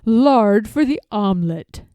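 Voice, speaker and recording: A woman voicing a suave, sly gentleman fox in a children's storybook, formal and smooth-talking with a bossy streak. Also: medium pitch, expressive, slow pace, dry recording. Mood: courteous, scheming.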